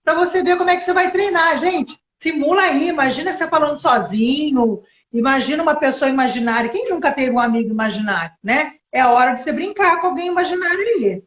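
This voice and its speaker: A Brazilian woman teaching English in a live online lesson, lively and encouraging, explaining step by step. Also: high-pitched, fast talker, hardly any pauses, roomy sound. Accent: Brazilian Portuguese.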